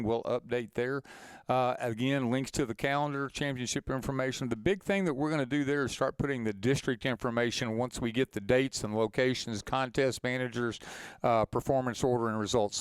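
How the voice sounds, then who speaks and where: Smooth-voiced man moderating a webinar. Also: medium pitch, dry recording. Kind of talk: informal webinar presentation.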